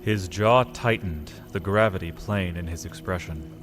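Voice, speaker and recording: Low-pitched man narrating fantasy drama. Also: soft background music. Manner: measured, solemn storytelling with deliberate pauses.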